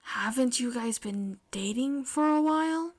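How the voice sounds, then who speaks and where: A fed-up male schoolteacher in an audio story, acted out by a woman. The voice is fairly high and emphatic, scolding with stern exasperation. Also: lively intonation, unhurried. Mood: weary, stern. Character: exasperated male teacher scolding his troublemaking student.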